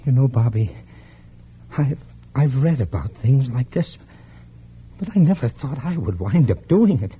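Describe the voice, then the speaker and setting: An actor playing a nervous, love-struck killer with a hesitant, stammering manner in a vintage radio drama. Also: male voice, medium pitch, animated, measured pace, close to an everyday voice. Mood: uneasy, devoted. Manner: hesitant, stammering, repeating words. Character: besotted, nervous lover turned killer, betrayed by her. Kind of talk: 1940s-1950s American radio suspense acting. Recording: muffled, noisy old radio recording.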